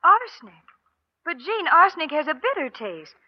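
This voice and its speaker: A woman's voice: very high-pitched, very animated, normal speed. A young woman playing a trusting, bewildered ranch heiress in an old-time radio western. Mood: puzzled. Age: young adult. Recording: dull vintage radio recording.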